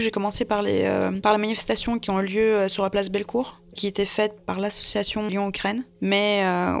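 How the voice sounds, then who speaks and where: A woman in her late twenties from Russia, telling her story as a volunteer interpreter, hesitant with many pauses and fillers. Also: medium pitch, creaky, no room sound. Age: 20s. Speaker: Red Cross volunteer interpreter. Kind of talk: personal testimony.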